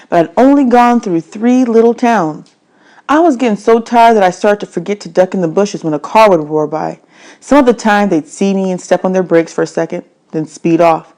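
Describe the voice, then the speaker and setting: A woman's voice: lowish voice, darkish tone, low-quality recording. A woman narrating a children's novel in the first person, telling it expressively with stressed phrases. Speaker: read-aloud narrator.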